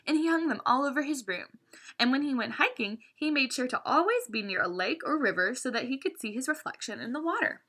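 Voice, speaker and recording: A woman reads a children's story aloud in a fairly high, bright voice. Her delivery swings widely in pitch and is expressive throughout. She occasionally trips on a word and corrects herself. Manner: animated, with stumbles and self-corrections.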